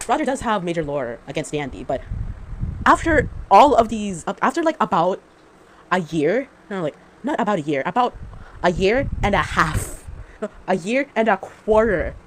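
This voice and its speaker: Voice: medium pitch, big pitch swings, moderate pace, rough recording. A person giving an unscripted, sarcastic fan rant, rambling with stammers and frequent self-corrections. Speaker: fan commentator. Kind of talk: unscripted opinion rant about a game. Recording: amateur recording with clear background noise.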